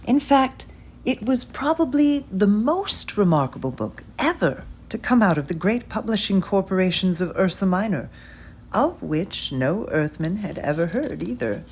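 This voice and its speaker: A woman giving a scripted literary narration, reading a story in measured, deliberate phrases with pauses. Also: mid-range voice, very animated, a little mellow, slight background noise.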